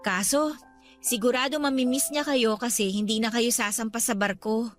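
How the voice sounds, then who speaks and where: Actress as a high-voiced devoted Filipino daughter. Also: female voice, steady tone, moderate pace, soft background music, natural voice. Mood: cheerful, caring. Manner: caring, respectful. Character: devoted young adult Filipino daughter, gentle, reassuring, patient. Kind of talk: Tagalog radio drama acting.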